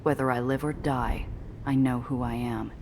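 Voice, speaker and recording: A woman's voice: rumbling low, fairly even intonation, moderate pace, noisy background. A woman playing a gritty, defiant adventurer in a video game, narrating her own peril in dramatic hardboiled noir style. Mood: tense, suspenseful. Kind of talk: scripted hardboiled noir first-person narration.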